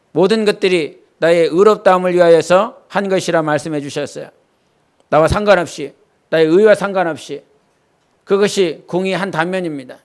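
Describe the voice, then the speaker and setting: Male pastor from Jeolla, high and smooth voice, earnest sermon with folksy anecdotes in a church. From Jeolla, South Korea. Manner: earnest preaching mixed with homely childhood anecdotes. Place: church sanctuary.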